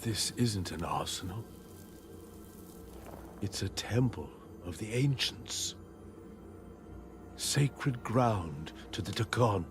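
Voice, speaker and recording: An actor voicing a solemn ancient alien guardian in a video game, speaking in a grave, reverent, measured way. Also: male voice, fairly low pitch. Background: game music.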